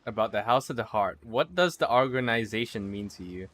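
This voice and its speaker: A man livestreaming a game with casual, halting commentary, thinking aloud and repeating words. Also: medium pitch, lively intonation, fairly bright tone.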